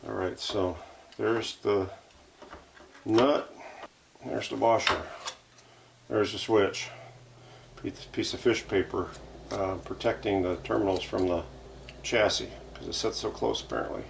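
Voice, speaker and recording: A man's voice: on the low side, fairly even intonation, a little slow, creaky, pauses often, background noise. A man giving casual, step-by-step narration as he works, muttering his thoughts aloud in a workshop. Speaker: vintage radio restorer hosting a workshop video. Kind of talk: casual hands-on narration while working. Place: home workshop.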